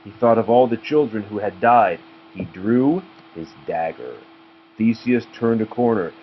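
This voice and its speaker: A man with a fairly low voice narrates a children's story, as a parent reads to a child. The recording is muffled, with clear background noise. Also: a little slow. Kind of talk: storybook read-aloud narration.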